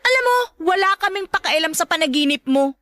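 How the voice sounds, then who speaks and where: A woman's voice: extremely high pitch, animated, brisk, a bit bright, no echo. A woman playing a composed but feisty young lead in a radio drama, with cool, firm retorts. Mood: cool, defiant. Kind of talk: Tagalog radio drama acting.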